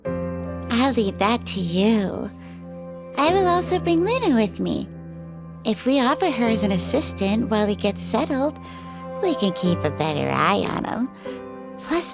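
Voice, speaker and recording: A woman voicing a coy, slow-talking vampire's lover. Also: medium pitch, very animated, vocal fry, music in the background. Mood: attentive. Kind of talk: ASMR audio drama acting.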